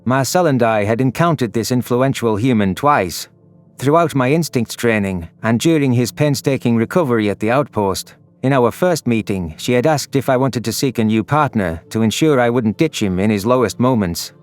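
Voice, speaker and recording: A man's voice: mid-range voice, animated, normal speed. A man reading scripted, dramatic narration for a science fiction audio story. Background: background music.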